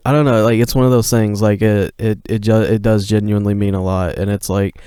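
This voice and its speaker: A man with a low, smooth, resonant voice, worn out and talking slowly on a casual podcast. He rambles in a laid-back way, full of "like" and easy swearing, and the close mic sounds dry. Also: level tone, dark tone, no room sound. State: tired. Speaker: podcast co-host.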